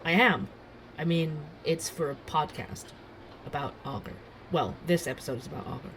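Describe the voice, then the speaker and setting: A man acting a nervous, eager young barista and podcaster in an urban fantasy audio drama, halting and apologetic. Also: on the high side, gravelly, a bit bright, slight background noise. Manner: halting, self-interrupting, awkward.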